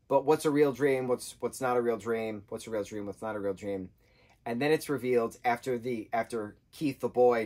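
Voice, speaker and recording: A man in his thirties, husky-voiced, giving an animated casual book review from a sofa indoors. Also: medium pitch. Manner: animated, gestures a lot, acts out quotes in changing voices. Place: indoor room, sofa with patterned throw.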